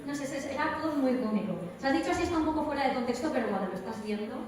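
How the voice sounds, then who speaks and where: Higher-voiced Spanish woman in echoey, crowded hall. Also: expressive, racing. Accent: Spanish from Spain. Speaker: voice actress, guest speaker. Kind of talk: live panel talk, anecdotes and advice. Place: large echoey event hall at a convention. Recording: echoey hall, distant mic, crowd noise. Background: crowd chatter.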